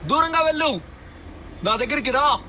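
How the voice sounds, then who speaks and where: A man voicing an urgent, excitable squadmate in a battle royale video game, giving clipped commands. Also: extremely high pitch, moderate pace, slight background noise, exaggerated voice. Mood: urgent commanding. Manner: clipped, imperative callouts. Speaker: game character voice.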